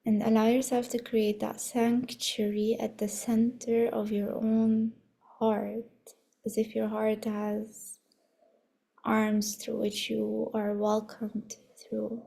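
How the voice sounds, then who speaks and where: Slow, creaky-voiced woman guiding meditation. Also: fairly high pitch, monotone. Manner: soothing, unhurried, gently instructive. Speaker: meditation guide leading a body scan. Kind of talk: guided meditation with breathing practice.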